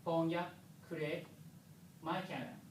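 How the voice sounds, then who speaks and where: A man from Japan with a Japanese accent, a non-native English speaker giving a technical conference presentation with halting, pause-filled phrasing. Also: fairly high pitch, flat delivery. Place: lecture room. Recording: echoey room, distant mic, faint noise.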